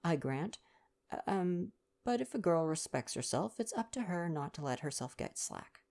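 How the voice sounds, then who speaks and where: A man voicing a cheeky, breezy young English woman in a storybook, with slangy, brisk, flippant talk. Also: on the high side, big pitch swings, creaky, a little mellow, pitched above the performer's own voice.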